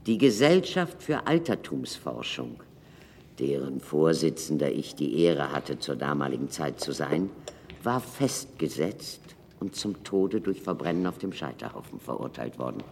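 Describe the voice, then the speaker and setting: Very low-voiced, learned older man. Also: very animated, creaky, darkish tone, a little hiss or hum, full character voice. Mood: wry, reflective. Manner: measured, formal, dramatic pauses. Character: learned former prisoner, wry doctor recounting his past. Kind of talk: German radio drama acting, storytelling. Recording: reverberant room, faint music underneath.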